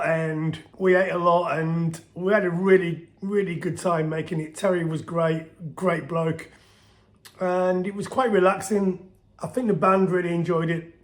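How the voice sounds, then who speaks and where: A middle-aged man with a soft voice, casually recalling his band's history in a chatty, rambling way full of restarts and fillers. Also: on the high side. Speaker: band singer telling its history. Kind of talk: casual spoken storytelling to camera.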